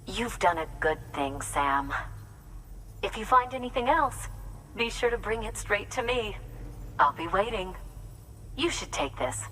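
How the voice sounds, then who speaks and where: A woman's voice: mid-range voice, lively intonation, a little slow, pauses often, sharp tone, recorded from a distance, slight background noise, low-quality recording. A woman playing an eccentric, eager scientist with a theatrical, grandiose manner in a video game cutscene. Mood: pleased, brisk.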